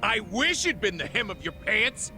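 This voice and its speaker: Male swindler, game cutscene; higher register, pushy bluster, haughty manner, prominent backing music. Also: very animated, normal speed, sharp tone, full character voice. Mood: indignant. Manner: brusque, condescending, blustering. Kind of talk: video game cutscene dialogue. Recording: music playing behind dialogue.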